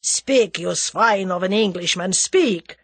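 A woman voicing a hot-tempered foreign male conspirator with a forceful, explosive manner. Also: mid-range voice, slow pace, husky, no room sound. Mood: enraged.

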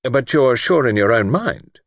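A man voicing a wry, gently persistent gentleman investigator in an audiobook, asking patient, probing and direct questions. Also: medium pitch, animated, measured pace, gravelly, no echo, studio quality, pitched above the performer's own voice. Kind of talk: audiobook character dialogue.

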